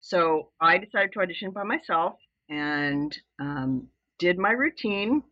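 Woman, 70s, from Southern California, podcast guest, loud exuberant storyteller, forceful tone, dull lo-fi sound. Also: on the low side, animated, measured pace, dry recording. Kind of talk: telling a personal story in an interview.

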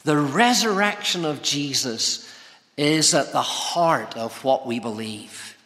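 A man preaches in a forceful, bright voice pitched a little high for a male speaker. He delivers his sermon in measured, deliberate phrases with pauses between them. The long reverb of a big church hall trails his words. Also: animated. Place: large church interior, preaching to congregation.

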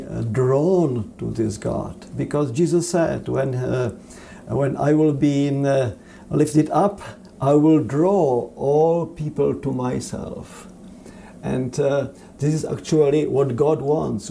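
A man with a Czech accent answering interview questions on theology in a halting, earnest, preacherly manner. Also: medium pitch, gravelly, dark tone, slight background noise. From Czech Republic. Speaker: seminary dean, interview guest.

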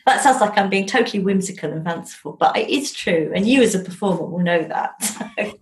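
A woman chatting casually as an interview guest, telling anecdotes in an animated, whimsical way. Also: mid-range voice, a little fast, echoey room, slight background noise. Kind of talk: jolly casual chat and anecdotes.

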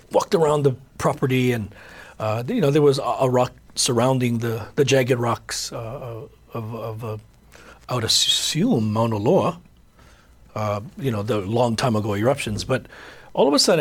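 A middle-aged man hosts a casual podcast chat. His voice is husky and sits at an ordinary pitch. He tells stories loosely, full of fillers and restarts. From Hawaii. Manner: storytelling, many fillers and restarts. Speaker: podcast host.

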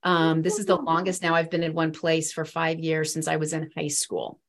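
This is a woman in her forties from the Midwest who talks at length about her life. She comes across as earnest and rambling, with plenty of fillers along the way. Her speaking voice sits a little low. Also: level tone, moderate pace. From East Central Illinois. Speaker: guest. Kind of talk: faith testimony in a podcast interview.

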